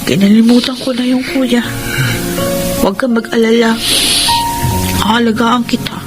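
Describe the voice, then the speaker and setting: Woman playing kind filipina little sister in radio drama, highish voice, gentle, loud music under it. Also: fairly even intonation, normal speed, close to an everyday voice. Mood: gentle, firm. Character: gentle, forgiving, caring younger sister.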